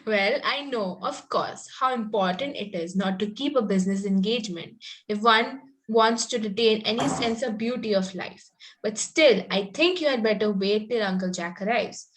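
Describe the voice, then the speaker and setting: A woman's voice: medium pitch, normal speed, natural voice, low-quality recording. A woman reading an earnest, precocious young Victorian lady in a comedy table read, formal with some stumbles over the script. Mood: prim, earnest.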